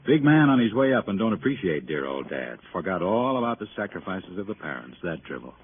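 A man's voice: low voice, sing-song, fairly quick. Brash, sardonic man, swooping delivery. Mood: defensive, sardonic. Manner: sardonic, glib, emphatic. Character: defensive, brash, cynical successful son, a grown man. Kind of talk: 1950s radio drama acting. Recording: tinny, noisy old radio recording.

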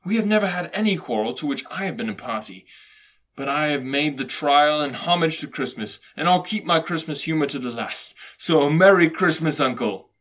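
A cheerful young gentleman nephew from a classic storybook, played by a male reader. His voice is raised high and bright, eager and warm-hearted, pleading earnestly. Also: expressive, moderate pace. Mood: earnest goodwill. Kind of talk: dramatised classic storybook reading.

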